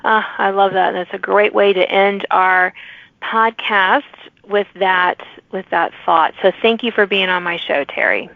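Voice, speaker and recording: A woman's voice: mid-range voice, measured pace, creaky, dry recording. A woman podcast host chatting casually with a guest, encouraging and repeating phrases as she goes, on a tinny connection. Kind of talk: casual interview chat. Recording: tinny, phone-like, narrow-band sound.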